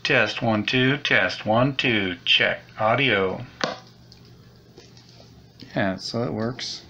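A man's voice: medium pitch, extremely slow, creaky. A man giving a casual, unscripted repair walkthrough, thinking aloud in a hesitant, rambling way, recorded on a handheld camera at a desk indoors. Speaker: hobbyist radio repairer presenting a video. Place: small indoor room at a desk. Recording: steady background noise, handheld camera recording.

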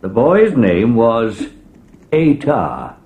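An actor playing a grave, gruff man in a dramatised audio storybook on a 1980s cassette. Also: medium pitch, huge pitch swings, very slow, dark tone, exaggerated voice. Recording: faintly noisy 1980s cassette recording.

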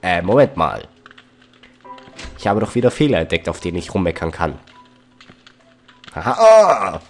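A man's voice: sits low, sing-song, normal speed. A man with a cold, making wry asides while he plays through a role-playing game. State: has a cold. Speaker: let's player. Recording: faint game music behind.

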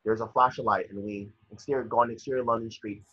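A man's voice: lowish voice, fairly even intonation, fast talker, pushed and bright, husky, no room sound. A young man narrating scripted stage directions from a screenplay over a video call. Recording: dull, muffled video call audio.

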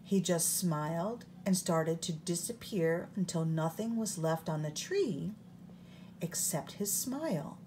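A woman reading a picture book aloud in an expressive storytime manner, recorded on a phone held over the book. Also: fairly low pitch, slow pace, a little hiss or hum.

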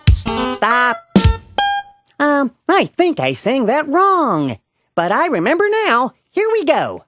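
A woman's voice: sits high, huge pitch swings, slow pace, a bit bright, dry recording, faint music behind, heavily acted. A woman voicing a lazy, flustered young frog puppet in a children's show, hesitant and forgetful. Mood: cheerful, befuddled.

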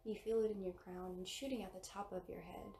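A woman leading a guided meditation in gentle, measured short phrases. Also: mid-range voice, fairly even intonation, heavy background noise. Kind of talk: guided meditation, slow and soothing. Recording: roomy, distant mic under loud music. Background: loud meditation music with singing bowls.

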